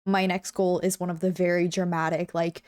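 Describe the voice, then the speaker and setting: A woman's voice: on the low side, flat delivery, a little fast, darkish tone. Bubbly, chatty young woman streamer. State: a bit congested. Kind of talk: casual chat with live chat. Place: home.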